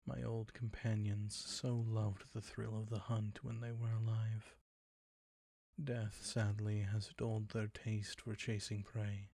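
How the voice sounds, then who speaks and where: A man playing a suave, predatory ancient vampire count in an intimate roleplay audio drama, soft and breathy, courtly and condescending. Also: on the low side, flat delivery, no room sound, studio quality, full character voice. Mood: menacing relish. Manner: courtly, condescending, slow and savoring.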